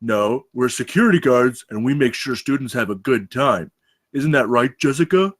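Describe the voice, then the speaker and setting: A man's voice: mid-range voice, expressive, normal speed, a bit bright. A man reading the part of a cocky undercover campus security guard bro in a live table read. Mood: dismissive.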